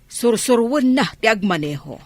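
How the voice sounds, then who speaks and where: A woman acts a middle-aged Ilocano lady of a well-off house in a radio play. Her mid-pitched voice moves in lively swings, recorded close and dry. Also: normal speed, no room sound. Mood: inquiring. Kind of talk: radio drama acting.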